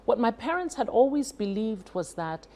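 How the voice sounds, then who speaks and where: A woman's voice: fairly high pitch, very animated, moderate pace, a little mellow, slight background noise. A middle-aged woman from East Africa, a guest telling her life story conversationally and explaining as she goes, often checking in with short tags. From East Africa (Uganda and Kenya). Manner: explanatory, adds checking tags like "huh?" and "yeah?". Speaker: talk show guest. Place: TV studio.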